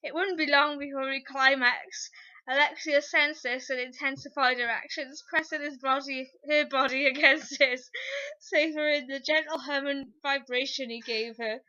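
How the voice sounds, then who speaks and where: High, bright-voiced woman reading theatrically. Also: fairly even intonation, normal speed, dull audio. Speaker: narrator. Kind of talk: comic dramatic reading of fan fiction.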